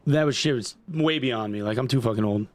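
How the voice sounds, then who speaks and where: A man's voice: medium pitch, brisk, darkish tone, dry recording. A man in his forties from upstate New York, chatting casually and profanely with his livestream audience while he games, rambling with lots of "like" and "dude". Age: 40s. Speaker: gaming streamer.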